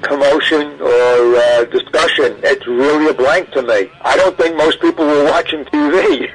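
Halting older man, higher-pitched voice. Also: breathy edge, rough recording. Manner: halting, thinking aloud. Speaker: guest archivist. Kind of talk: reminiscing interview talk.

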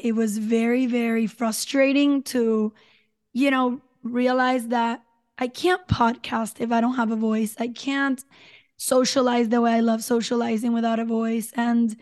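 A woman in her thirties with a hoarse voice, telling her own story as a guest in a podcast interview, chatty and full of "you know" and "like". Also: on the high side, flat delivery, unhurried, a little mellow. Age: 30s.

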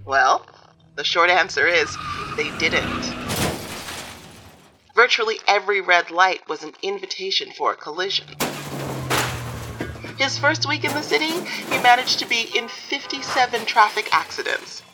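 A woman's voice: mid-range voice, animated, unhurried, long pauses, over music, close to an everyday voice, rough recording. A woman playing a wry author in a radio documentary interview, telling the story in a dry, matter-of-fact way. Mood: wry, explanatory. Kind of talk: documentary interview in audio fiction.

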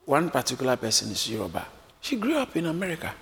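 A man preaching a sermon, lively and often acting out the voices of a crowd, joking with his congregation. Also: medium pitch, quick pace, creaky. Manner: animated, acts out crowd voices, jokes with congregation. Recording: echoey hall with faint room noise.

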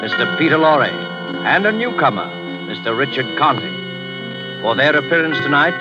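A man announcing a vintage radio drama, stately and dramatic. The old recording sounds dull and lo-fi, with loud music underneath. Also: mid-range voice. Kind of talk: formal 1940s radio announcing.

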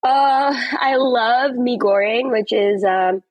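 A woman's voice: on the high side, takes it slowly, no echo. A young woman, an actress guest on a podcast, chatting and telling stories with frequent laughs and lots of "like". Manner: chatty storyteller, laughs often, fillers like "like". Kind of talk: casual interview chat.

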